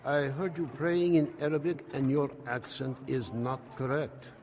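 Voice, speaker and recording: An actor playing a stern imam at a holy site in a radio drama, handing down judgment. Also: male voice, medium pitch, fairly even intonation, measured pace, a little mellow, slight background noise, natural voice. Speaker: imam character.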